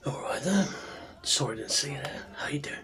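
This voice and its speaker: A man playing a friendly, easygoing tavern barkeep in a soft-spoken, chatty fantasy ASMR roleplay. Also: fairly high pitch, animated, brisk, lightly acted. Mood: welcoming, easygoing. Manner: informal, chatty, reassuring. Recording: soft background music and tavern ambience. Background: music, tavern ambience.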